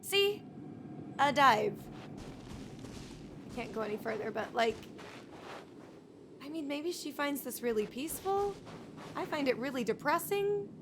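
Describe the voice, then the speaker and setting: Sarcastic, high-voiced woman game streamer. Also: expressive, unhurried, pauses often, noisy background. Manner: sarcastic, answers characters back. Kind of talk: casual live game commentary. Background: game music.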